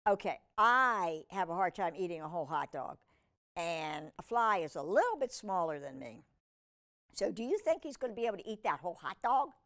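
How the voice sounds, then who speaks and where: A woman's voice: on the low side, very animated, moderate pace, a bit bright. A woman hosting a children's storytime, reading a picture book aloud expressively and adding chatty asides and questions to her young viewers.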